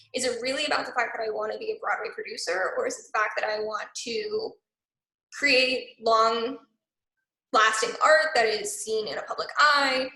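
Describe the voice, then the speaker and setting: A young woman speaking in a loose, conversational way, filling her sentences with "like." Her voice is slightly high and very bright. It sits in a roomy, echoing space. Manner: chatty, many "like" fillers. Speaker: arts organization program director. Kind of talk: casual online talk.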